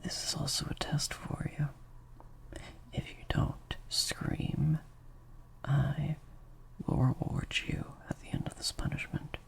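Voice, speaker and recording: Man acting a slow, creaky, sadistic vampire master. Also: fairly high pitch, flat delivery, exaggerated voice. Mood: cold, menacing. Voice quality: breathy, creaky, mostly whispered. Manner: slow, drawn-out, softly taunting. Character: slightly sadistic male vampire master, menacing yet praising. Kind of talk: improvised ASMR-style audio roleplay.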